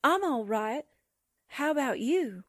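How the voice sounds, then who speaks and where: A woman's voice: high voice, lively intonation, slow pace, no room sound, studio quality, exaggerated voice. A woman acting a feisty, wisecracking newspaper sidekick in a 1940s-style radio drama, breezy and sardonic. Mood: excited.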